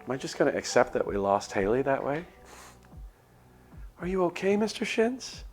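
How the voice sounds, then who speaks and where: A man live-streaming a visual novel, reading text aloud and chatting in a self-deprecating way as he thinks out loud. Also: medium pitch, big pitch swings, normal speed, faint music behind. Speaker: game streamer.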